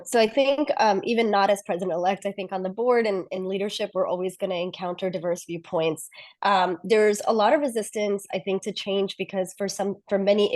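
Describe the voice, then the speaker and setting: A woman's voice: mid-range voice, a little mellow. A woman running for a board seat, answering a question on a video call in a reflective, thinking-aloud way. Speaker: board candidate.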